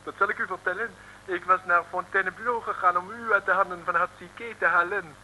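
High, bright-voiced, courteous policeman. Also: fairly even intonation, brisk. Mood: grateful, courteous. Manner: formal, ornately polite. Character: courteous, grateful French policeman. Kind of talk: 1950s comic radio serial acting. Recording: old noisy radio recording.

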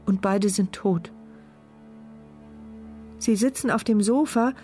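A woman's voice: medium pitch, slow pace, warm tone, lightly acted. An actress playing a trapped wheelchair user, narrating her terrified inner thoughts in fragments in a radio thriller. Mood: dread, horror. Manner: tense, fragmented first-person present-tense narration. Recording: music bed behind voice.

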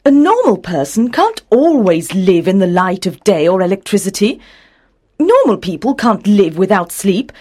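A woman playing a worried, pleading mother in an audio story, speaking emphatically and deliberately. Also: mid-range voice, sing-song, dark tone, breathy edge. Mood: worried, disbelieving. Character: worried, pleading mother of a sleepless teenage son. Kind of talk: acted dialogue in an audio story.

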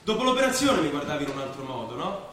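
An actor playing a proud but uneasy penniless young athlete in an Italian stage play, protesting earnestly. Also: male voice, fairly high pitch, very animated, fast talker, a loud crowd. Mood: uneasy, firm. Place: echoey theatre stage. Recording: echoey theatre recording, distant mic, faint noise.

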